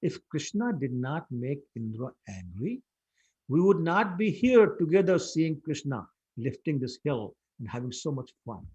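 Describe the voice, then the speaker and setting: A playful boy who jokes and boasts, his voice jumping excitedly up and down, as a man acts him out. It carries a husky grain. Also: a little high, a little slow, heavily acted. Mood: cheerful. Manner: teasing, excitable.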